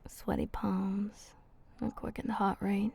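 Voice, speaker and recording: A flirty female vampire, played by a woman in an intimate ASMR roleplay. Her voice is soft and mellow, often slipping into a whisper, with a husky creak at the edges. She teases haltingly, as if speaking to one person very close by. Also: mid-range voice, little pitch movement, exaggerated voice. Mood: teasing.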